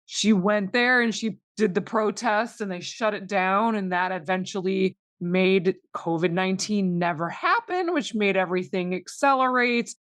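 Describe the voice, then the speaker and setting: Woman, mid-pitched voice, podcast co-host, casual discussion, dry recording. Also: moderate pace, hardly any pauses, fairly bright tone, no echo. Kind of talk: casual discussion of a fiction series.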